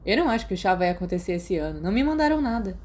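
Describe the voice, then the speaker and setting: A woman's voice: medium pitch, sing-song, racing, a little mellow, close to an everyday voice. A woman voicing a proud, moody teenage schoolboy in an audiobook, with a guarded, dismissive manner. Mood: puzzled.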